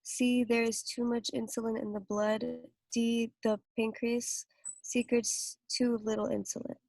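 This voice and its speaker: A woman student reading a quiz question aloud in an online class, slow and hesitant. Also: a little high, level tone, a little mellow, dry recording, low-quality recording. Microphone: video call.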